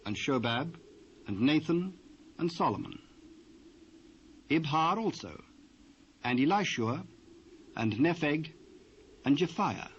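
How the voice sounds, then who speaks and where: A British man narrating a dramatised Bible reading in a measured, formal manner. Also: on the high side. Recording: dull, noisy old cassette.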